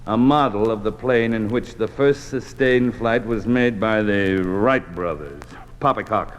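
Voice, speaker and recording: An actor playing an officious, doctrinaire Communist official in an old-time radio drama, speaking in a pompous, clipped, commanding way. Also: male voice, fairly low pitch, a little slow, lightly acted. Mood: imperious, brisk. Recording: old radio recording, long hall reverb.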